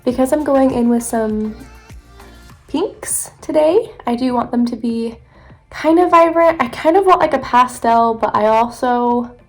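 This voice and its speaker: Chatty young woman, gravelly, a bit congested. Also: on the high side, measured pace, soft background music. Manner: chatty, rambling, self-correcting. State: congested from allergies. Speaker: beauty vlogger. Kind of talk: chatty makeup tutorial.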